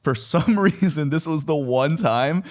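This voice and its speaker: A man's voice: a little high, a little slow, darkish tone. A man chatting informally on a podcast, telling things in a colloquial, conversational way. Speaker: podcast participant. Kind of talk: casual chat, anecdotes.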